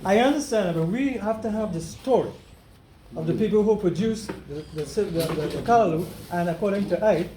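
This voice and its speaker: Older trinidadian guy preaching to family, pretty high voice, echoey room with lots of noise. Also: brisk, dark tone. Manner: earnest, preachy, repeats phrases for emphasis. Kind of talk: impassioned speech at a family gathering. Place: home gathering after a funeral service.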